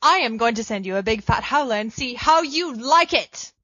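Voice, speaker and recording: A woman's voice: on the high side, animated, normal speed, a bit bright, no echo. A woman reading a fan fiction letter aloud with a playful, cheeky, mock-pompous delivery. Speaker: podfic reader. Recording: dull lo-fi dry home recording.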